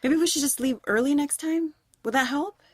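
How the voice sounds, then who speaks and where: An actress playing an outspoken, loyal teenage school friend in an audio drama, protective and assertive in manner. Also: female voice, high-pitched, moderate pace, no room sound, lightly acted. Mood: careful, supportive. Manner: animated, assertive. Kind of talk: naturalistic audio drama acting.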